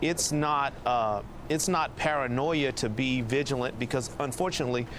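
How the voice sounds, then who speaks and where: A man, a state representative, speaking earnestly and deliberately in a live interview from outdoors. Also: mid-range voice, background noise.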